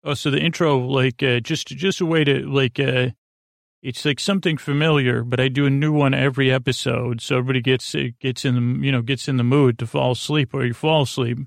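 A man hosting a sleep podcast, rambling gently in a soothing, creaky drawl full of fillers and self-corrections. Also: fairly even intonation, a little fast, no room sound, studio quality. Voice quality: creaky, low-key drawl.

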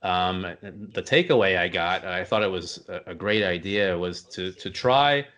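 A man hosting a casual interview, putting his questions in a rambling, halting way. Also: low-pitched, fairly bright tone. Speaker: interview host.

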